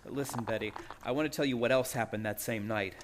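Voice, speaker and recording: A man's voice: mid-range voice, brisk, slight background noise, close to an everyday voice. An actor playing a proud, nerve-racked young man in a suspense radio play, earnest and tense. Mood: haunted, bitter.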